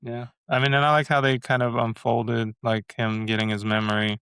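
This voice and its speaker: A man's voice: mid-range voice, level tone, moderate pace, a bit bright, clear, resonant tone, dry recording. A man co-hosting a casual podcast chat by video call, laid back and slangy. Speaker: podcast co-host. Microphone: video call webcam.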